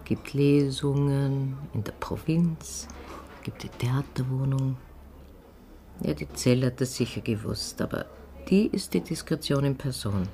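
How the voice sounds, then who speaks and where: A world-weary theatre insider confides in a radio drama. The voice is medium-pitched and very mellow, deliberate and sardonic, with some background noise. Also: male voice, creaky. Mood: musing.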